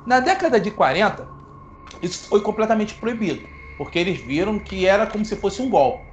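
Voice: mid-range voice, expressive, brisk, a little hiss or hum. A host, explaining film history in a casual, playful way to the audience. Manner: playful, self-mocking asides.

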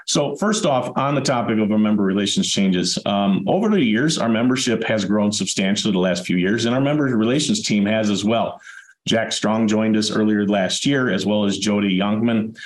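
A man hosting a webinar in a relaxed, conversational way, his voice hoarse, raspy and dry from a chest cold. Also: lowish voice, moderate pace. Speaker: webinar host. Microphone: video call. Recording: echoey room over a video call.

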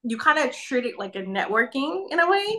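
Bright-voiced woman from Nigeria, chatty. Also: high-pitched, sing-song, a little fast. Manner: chatty, many fillers like "you know" and "like". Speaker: podcast guest. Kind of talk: casual conversation.

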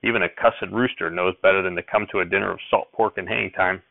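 A man's voice: deep voice, steady tone, quick pace, vocal fry, dry recording. Man reading as blunt, grumbling farm uncle, tinny. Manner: rustic country speech, blunt.

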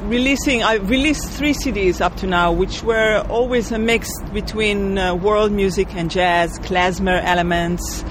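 A woman's voice: mid-range voice, fairly even intonation, a little slow, few pauses. Woman composer from Israel, interview guest, careful phrasing, outdoor traffic bed. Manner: thoughtful, searching for words, laughs lightly. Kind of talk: interview answers.

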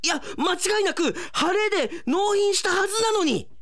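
Man acting excitable male office worker Naoki. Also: very high-pitched, normal speed, pitched above the performer's own voice, exaggerated voice. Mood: shocked disbelief. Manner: melodramatic, exclamatory, desperately self-justifying. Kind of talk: melodramatic radio drama acting.

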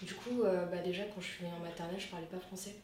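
A woman whose first language is Vietnamese, speaking softly and reflectively about her studies. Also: fairly low pitch, steady tone, quick pace, slight background noise. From France, Toulouse suburbs. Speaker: former film school student giving testimony. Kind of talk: casual conversational testimony. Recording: very echoey room, distant mic.

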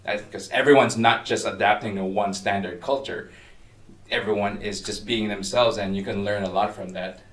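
Filipino-accented man, bright echoey podcast voice. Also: lowish voice, normal speed, far from the mic. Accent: Filipino-inflected American English. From Philippines. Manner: animated, chatty, laughs while teasing. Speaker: podcast co-host. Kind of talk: casual podcast chat. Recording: echoey room, voice a bit off-mic.

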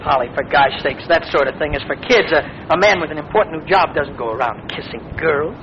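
Man acting a cocky, high-voiced young would-be executive. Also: expressive, fairly quick, close to an everyday voice. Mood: playful bragging. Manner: boastful, pompous, stammering when flustered. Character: cocky, self-important eager young man playing big executive. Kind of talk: 1940s radio comedy acting. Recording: noisy, muffled 1940s radio recording with audience.

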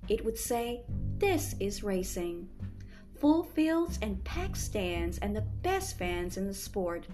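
Woman, advertising voice-over, scripted read, mid-pitched, music behind. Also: very animated, takes it slowly, dark tone. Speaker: voice-over artist. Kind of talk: scripted commercial narration.

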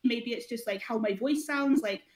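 A fairly high-pitched woman talks as a podcast guest, an esports founder and coach. Her speech wanders and is packed with "like" fillers and restarts. She is candid and blunt at times. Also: moderate pace. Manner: rambling, heavy on "like" fillers, hesitant restarts. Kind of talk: casual podcast conversation.

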